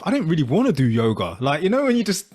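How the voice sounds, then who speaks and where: A man's voice: a little high, huge pitch swings, fast, a little mellow. A man co-hosting a podcast, talking in a rambling, chatty way full of fillers. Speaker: podcast co-host. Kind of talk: casual interview chat.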